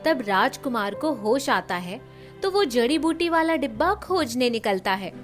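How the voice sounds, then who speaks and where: Casting: female storybook narrator, high voice, scripted children's tale delivery, music bed.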